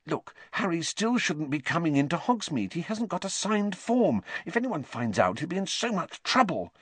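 A man voicing a teenage schoolgirl witch who is anxious and bossy, speaking in a high, fretful way. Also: huge pitch swings, measured pace, fairly bright tone, slightly rough, no echo, heavily acted. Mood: worried.

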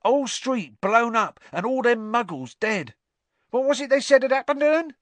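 A man voicing an excitable young male bus conductor who gossips and keeps seeking agreement. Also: very high voice, moderate pace, no room sound, voice pushed up. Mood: excited gossip.

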